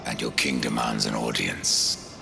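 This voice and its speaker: An actor playing a grim, defiant horseman of death in a video game cutscene, terse and dry, with a deep gravelly voice. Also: unhurried, background noise, heavily acted. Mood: cold defiance. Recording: loud cinematic music behind.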